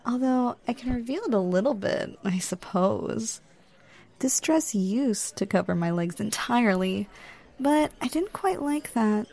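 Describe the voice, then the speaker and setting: A woman's voice: mid-range voice, creaky, a little mellow. Flirty, teasing woman acting on a date. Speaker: ASMR roleplayer. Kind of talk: ASMR roleplay.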